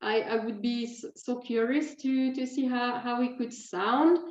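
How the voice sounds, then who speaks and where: A woman's voice: on the high side, steady tone, measured pace, roomy sound, highs cut off. A young woman with a Swiss French accent, a writer talking about her books in English with many fillers and restarts. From French-speaking Switzerland.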